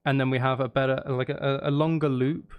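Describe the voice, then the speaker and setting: A man's voice: medium pitch, flat delivery, a little fast, highs cut off. A man, talking casually on a livestream, rambling and thinking aloud as he goes. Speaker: live streamer. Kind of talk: casual livestream chat while making music.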